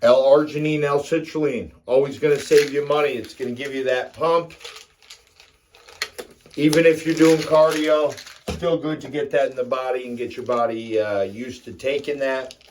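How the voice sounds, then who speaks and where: A man's voice: mid-range voice, fairly even intonation, moderate pace, darkish tone, slight background noise. A man in his fifties with a mid-range voice talks casually as a fitness vlogger. His delivery is informal and plainspoken, with blunt, slangy encouragement aimed straight at the viewer. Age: 50s. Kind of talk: casual motivational talk to camera during a morning workout.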